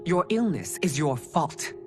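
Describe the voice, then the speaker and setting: An actress playing a stern, imperious middle-aged empress in a video game, speaking in a regal, commanding and formal way. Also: female voice, low-pitched, big pitch swings, unhurried, over music. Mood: cold, scolding. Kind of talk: dramatic video game cutscene acting.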